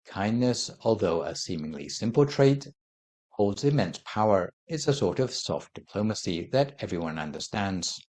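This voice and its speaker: A Buddhist monk giving a calm dharma talk, explaining his points in a measured, gentle way. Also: on the low side. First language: not Thai.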